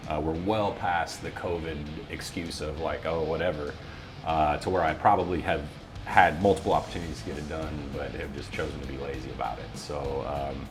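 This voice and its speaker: A man's voice: a bass voice, fairly even intonation, moderate pace, over music. An American man chatting casually as an interview guest, with a self-deprecating, wry manner. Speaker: interview guest, office voiceover talent.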